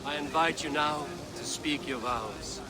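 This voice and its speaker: A man playing a steady, honorable Viking clan leader in a video game, speaking in a measured, formal way. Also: on the high side, very noisy, lightly acted. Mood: ceremonial. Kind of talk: dramatic game dialogue acting.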